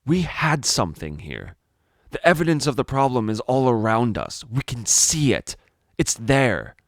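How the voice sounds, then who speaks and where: A man's voice: medium pitch, sing-song, a little slow, dry recording, clean studio sound. A man narrating a dramatic first-person story in a brooding, theatrical manner. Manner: brooding, theatrical delivery with rhetorical questions.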